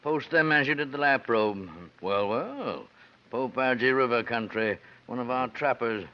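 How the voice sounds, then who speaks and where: A man's voice: mid-range voice, unhurried, lightly acted. A man playing a shrewd, gleeful attorney who hems and relishes his words, in 1950s radio drama style. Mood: wry, intrigued. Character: shrewd, gleeful male attorney, delighted to prosecute. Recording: muffled, noisy 1950s radio recording.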